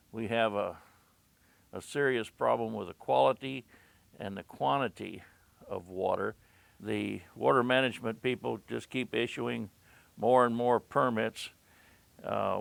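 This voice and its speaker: A man's voice: mid-range voice, vocal fry, a bit bright, no echo. A man talking in a conversational interview as a local resident, plain-spoken and folksy.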